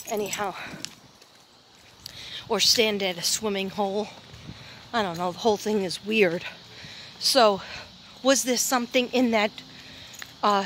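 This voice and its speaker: A woman's voice: mid-range voice, fairly bright tone, a little hiss or hum. A woman vlogging from her phone as she walks a neighborhood street outdoors, rambling and often restarting her sentences. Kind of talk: walking vlog, casual chat. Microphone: handheld phone.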